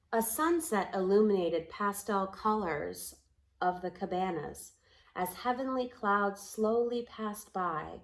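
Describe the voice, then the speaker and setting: A woman reading poetic children's stories aloud in a measured, expressive way with deliberate phrasing. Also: medium pitch. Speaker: storybook reader. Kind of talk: storybook read-aloud in rhyming, poetic prose.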